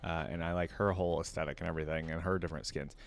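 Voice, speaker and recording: A man's voice: low voice, steady tone, rapid-fire, vocal fry, dry recording. A man giving casual reaction commentary, thinking aloud in informal, slangy language. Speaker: reaction video creator.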